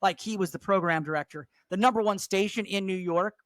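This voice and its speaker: A middle-aged man, a radio host, chatting casually and telling stories with a higher voice and lots of restarts. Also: fairly quick, no echo. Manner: chatty, storytelling, frequent restarts. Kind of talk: casual chat.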